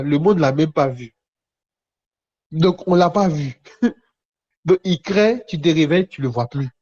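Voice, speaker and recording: A man's voice: on the high side, a little mellow. Man preaching, dull lo-fi livestream sound. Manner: repeats phrases for emphasis, often pausing between short phrases. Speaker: preacher hosting a live online prophetic session. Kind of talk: charismatic sermon and prophetic teaching, conversational, addressing viewers.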